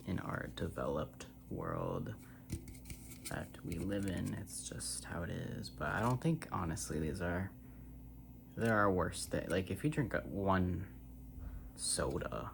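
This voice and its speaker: A soft-spoken ASMR creator rambling casually and meandering, trailing off toward near-whispers. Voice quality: soft, low, creaky voice. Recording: noisy recording with tapping and humming. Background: hum, tapping and scratching sounds.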